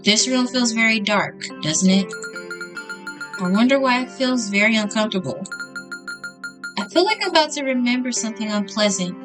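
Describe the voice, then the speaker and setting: A woman's voice: a little high, expressive, normal speed, pauses often, natural voice. Girl reading visual novel murder suspect girl, sad n self-pitying but teasing, music behind. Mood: coy, evasive. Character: self-pitying, coy young female murder suspect under interrogation. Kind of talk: visual novel dialogue read aloud.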